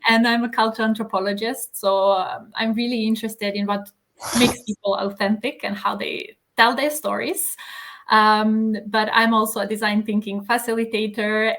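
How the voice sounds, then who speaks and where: A woman from Serbia, speaking English as her second language with a Serbian accent, talking as an interview guest in a measured way with many filler pauses. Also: medium pitch, fairly bright tone. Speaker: guest, magazine editor. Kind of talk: casual interview chat.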